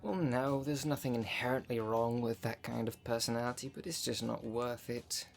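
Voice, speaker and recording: A man's voice: medium pitch, steady tone, moderate pace, gravelly, soft background music, natural voice. A man playing a jaded, sardonic thirty-two-year-old witch in an ASMR roleplay, talking in a dry, sarcastic, conversational way. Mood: wry, dismissive. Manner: dry, sarcastic, sweary, sighing.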